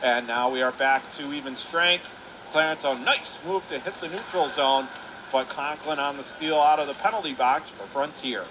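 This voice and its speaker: High-pitched hockey announcer, man, noisy rink. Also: moderate pace. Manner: urgent calls of the action in clipped phrases. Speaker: radio play-by-play hockey announcer. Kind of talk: live hockey commentary. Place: indoor ice hockey arena. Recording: clearly noisy live rink recording.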